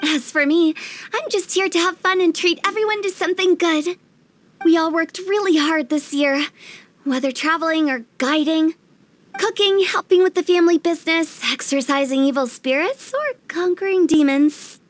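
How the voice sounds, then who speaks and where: A woman's voice: very high voice, normal speed. A woman voicing a playful, cheeky teenage girl with a theatrical, teasing manner in a game dub. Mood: self-satisfied. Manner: theatrical, teasing, self-important. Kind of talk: game cutscene dub acting.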